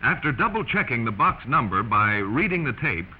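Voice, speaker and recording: A man's voice: mid-range voice, very animated, normal speed, breathy edge. An American man narrating a 1940s instructional film in an emphatic, instructive manner, addressing the listener directly. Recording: muffled, noisy 1940s film soundtrack.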